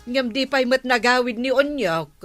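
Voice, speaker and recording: A woman's voice: high-pitched, normal speed, a bit bright, soft background music. A woman playing a concerned, complaining villager in an Ilocano radio comedy drama, with an Ilocano accent.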